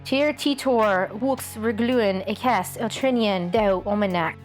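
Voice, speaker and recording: A man voicing a massive, scheming mother dragon who speaks a fantasy tongue slowly and deliberately. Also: high-pitched, faint music behind, voice pushed up. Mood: commanding.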